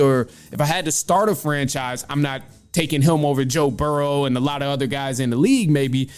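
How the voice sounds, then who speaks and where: A man's voice: a little high, darkish tone. A man hosting a sports show, giving casual, opinionated commentary in informal language. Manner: informal, opinionated, mild swearing. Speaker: sports podcast host.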